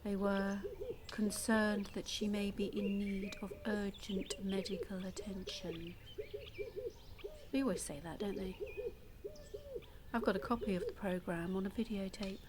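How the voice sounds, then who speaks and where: An actress playing a damaged, bitter woman in an audio play monologue, confiding and quietly menacing, often dropping close to a whisper. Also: medium pitch, steady tone, unhurried, a crowd in the background, lightly acted. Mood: wistful, wry.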